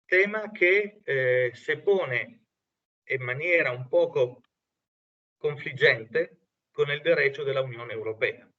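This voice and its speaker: A man with an Italian accent, a native Italian speaker, giving an academic talk haltingly, with many fillers. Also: medium pitch. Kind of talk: academic presentation. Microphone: video call. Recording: distant lo-fi video call audio.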